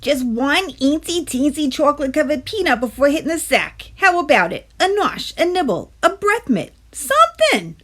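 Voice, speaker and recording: A storybook sweet tooth with a demanding, brash streak, acted out by a woman. It speaks loudly in a raised, bouncing pitch. It wheedles and wisecracks like a pushy little pest. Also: sing-song, normal speed, hardly any pauses. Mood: wheedling, pleading. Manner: loud, pleading, brash wisecracking. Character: bossy, wheedling talking sweet tooth craving candy. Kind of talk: animated picture-book character voice.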